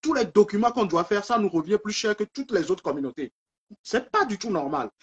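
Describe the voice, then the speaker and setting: A man's voice: sits high, expressive, fairly quick, slightly rough, muffled recording. A man from Togo joining a live debate, insistent and repeating his points.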